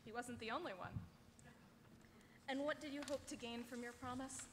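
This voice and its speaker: A woman playing a loving, wistful woman of the underworld, tender and measured, at a live table read. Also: on the high side, close to an everyday voice. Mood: wistful, teasing. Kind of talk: live table read of a sci-fi comedy script. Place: large convention hall panel stage. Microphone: table microphone on stand. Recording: echoey, noisy convention hall.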